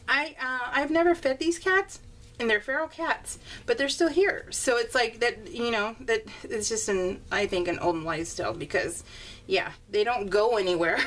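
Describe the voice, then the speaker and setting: A woman's voice: on the high side, fairly bright tone. An American woman chatting casually in a rambling, giggly, conversational way, as the host of a homemade craft vlog. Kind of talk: casual chatty vlog voiced in real time. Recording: slightly distant mic, faint room noise.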